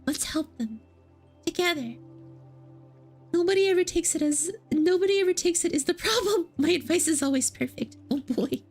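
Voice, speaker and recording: A woman's voice: very high voice, animated, moderate pace, a little mellow, soft background music. A woman streaming as a VTuber, chatting casually and answering would-you-rather questions. She talks in a playful, giggly way and teases her chat.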